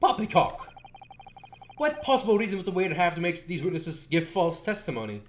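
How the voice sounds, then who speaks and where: A man's voice: high-pitched, sing-song, brisk, a little hiss or hum. A man voicing a pompous, indignant prosecutor from a visual novel, blustering with scorn.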